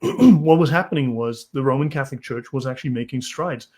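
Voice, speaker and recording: A man's voice: medium pitch, fairly quick, darkish tone. A South African man hosting a live stream, who reads from articles and answers chat comments bluntly and impatiently. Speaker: channel host.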